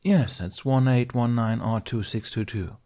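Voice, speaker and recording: A male narrator plays a courteous older-generation warrior monk in a science fiction tale. He speaks gently and politely, choosing his words in a measured way. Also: mid-range voice, close to an everyday voice.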